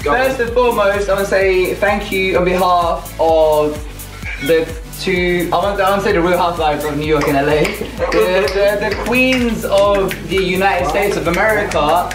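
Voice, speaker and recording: A man's voice: high voice, normal speed. Joking man making a toast at a party. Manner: joking, teasing. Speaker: friend at a gathering. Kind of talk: casual banter and a toast. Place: indoors at a home dinner party. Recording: roomy, from a distance, with music.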